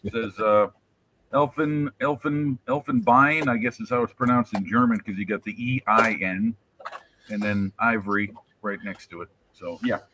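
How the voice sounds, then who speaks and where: A man's voice: fairly low pitch, normal speed, noisy background. A man hosting a casual live modelling stream, rambling and jokey, often restarting his sentences. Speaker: stream host and model builder.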